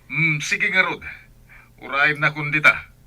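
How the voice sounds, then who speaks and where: A man playing an obliging confidant on a phone call in a radio drama, with a raspy voice. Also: fairly high pitch, measured pace, sharp tone, dry recording. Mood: calm, inquiring. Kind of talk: Ilocano radio drama acting.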